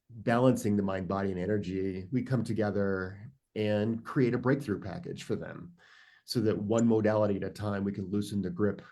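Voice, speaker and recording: A man's voice: lowish voice, dark tone. A man introducing himself as a self-mastery coach on a video call, upbeat and listing his methods. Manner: upbeat, lists things quickly.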